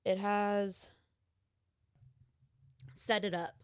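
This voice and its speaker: A woman's voice: mid-range voice, level tone, very slow, clean tone, no room sound. A woman co-hosting a podcast in casual chat, speaking informally and with slangy emphasis. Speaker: podcast co-host. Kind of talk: casual chat reviewing films and books.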